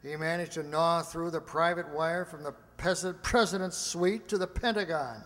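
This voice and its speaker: A man playing an earnest, harried government aide who reports deliberately in a comic radio play. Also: fairly high pitch, fairly bright tone. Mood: sober. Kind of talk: comic science-fiction radio play acting. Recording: echoey hall with long reverb.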